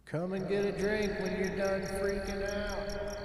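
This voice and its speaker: A man's voice: high voice, little pitch movement, unhurried, over music, voice pushed up. A man voicing a scornful, fed-up brother in a cowboy hat, biting and sarcastic, in an audio play. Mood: dismissive call.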